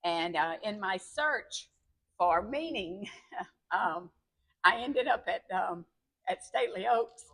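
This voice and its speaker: A woman who co-founded a community theater, speaking informally at a members' meeting, halting and stopping for fillers. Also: mid-range voice, expressive, vocal fry, fairly bright tone. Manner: halting, with fillers and self-corrections.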